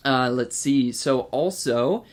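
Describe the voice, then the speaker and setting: A Canadian man co-hosting a podcast, chatting casually about horror news with a relaxed, rambling manner full of "like" and "um". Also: mid-range voice, takes it slowly. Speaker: podcast co-host.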